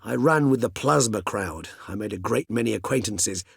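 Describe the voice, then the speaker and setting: A man's voice: fairly low pitch, lively intonation, creaky, husky, no echo, clean studio sound, full character voice. An actor voicing a cultured older male vampire in a video game, speaking formally and precisely. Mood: wry, reminiscing. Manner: erudite, formal, measured, wordy phrasing. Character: cultured, mild-mannered older male vampire, learned and courteous. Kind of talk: theatrical video game dialogue.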